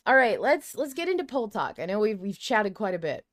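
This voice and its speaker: A woman's voice: mid-range voice, big pitch swings, fairly quick, fairly bright tone, no room sound, clean studio sound. A woman hosting a podcast, chatting casually and musing informally about a story. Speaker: podcast host. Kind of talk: casual discussion of a fiction podcast.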